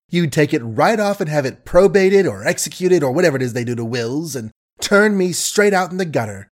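A man's voice: medium pitch, darkish tone, close to an everyday voice. A man voicing a scornful, tearful young woman who berates her suitor in emphatic, repetitive rants, in a storybook reading. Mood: angry, tearful. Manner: emphatic, repetitive, ranting and mocking.

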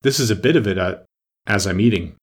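A man's voice: fairly low pitch, brisk, lightly acted. A man voicing a hungry, downtrodden storybook messenger, choked as if his mouth is full. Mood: choked, munching.

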